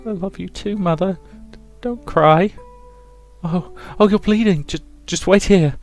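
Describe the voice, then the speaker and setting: Guy doing a scared little girl from a visual novel, high voice, timid and begging, music playing. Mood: timid, obedient. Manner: timid, halting, pleading. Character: frightened, pleading, submissive young girl. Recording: music behind the voice.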